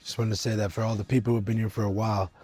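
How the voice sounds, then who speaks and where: A young man with a husky, deep voice, talking earnestly to camera outdoors at a winery, rambling with many fillers and restarts as a business coach. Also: level tone, racing, darkish tone. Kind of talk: casual motivational talk to camera. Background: faint eighties music.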